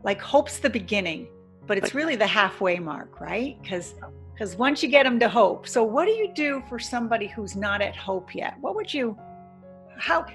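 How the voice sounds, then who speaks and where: A woman's voice: a little high, big pitch swings, moderate pace, faint music behind. A woman hosting an interview podcast, asking questions in an affirming, encouraging way. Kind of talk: interview, casual chat.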